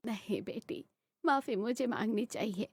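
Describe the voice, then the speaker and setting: A woman acts a stubborn older mother-in-law from a family cartoon. She is stern and authoritative, and quick to take offence. The sound is close and dry. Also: on the high side, slightly rough, no echo. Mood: remorseful. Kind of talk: Hindi animated family drama acting.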